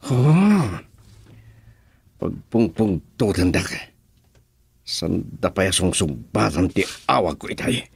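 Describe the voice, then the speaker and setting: An actor playing a gruff boss and father in a radio drama, irritated and complaining. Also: sits low, sing-song, slow, pauses often, dry recording, heavily acted. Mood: annoyed.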